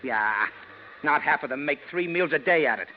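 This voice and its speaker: Casting: male actor as jittery American ex-con, very animated pitch, slangy and pleading, vintage radio drama, noisy dull recording. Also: normal speed, natural voice. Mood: self-justifying. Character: nervous, fast-pleading male ex-convict, slangy small-time crook. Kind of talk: 1940s radio crime drama acting.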